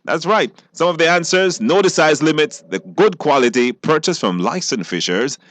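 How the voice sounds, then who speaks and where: A man's voice: fairly high pitch, expressive, normal speed, a bit bright. A Belizean man hosting a live radio call-in quiz in a casual, chatty style. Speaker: radio show host.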